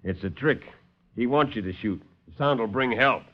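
A man's voice: medium pitch, moderate pace, lightly acted. A man playing a wary sidekick who urgently warns his skipper in a radio drama. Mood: warning. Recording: dull old radio broadcast.

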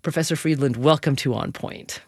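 A woman's voice: deep voice, brisk, darkish tone, slightly rough, no echo. A woman hosting a public radio interview show, conversational and repeating words as she thinks aloud on a studio microphone.

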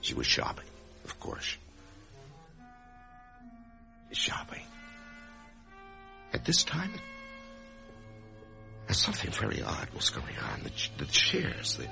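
An actor playing an anxious, death-haunted office man in a radio drama, speaking in a halting, emotional way. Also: medium pitch, wildly swooping pitch, vocal fry, pushed and bright, faint music behind, heavily acted. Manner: halting, emotional, swinging from brooding to agitated. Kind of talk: old-time radio drama acting, first-person narration and dialogue.

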